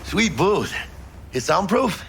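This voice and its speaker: Game street merc: hugely animated, cocky Mexican American guy, higher-pitched with rasping creak, playful swagger. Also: slow pace, a little hiss or hum, heavily acted. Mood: upbeat, eager. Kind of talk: dramatic video game acting.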